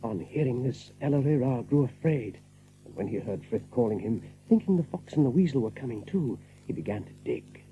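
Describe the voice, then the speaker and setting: Animated male storyteller, tinny recording. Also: mid-range voice, fairly quick. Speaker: narrator. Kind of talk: dramatic storytelling narration. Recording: tinny narrow-band audio with hum.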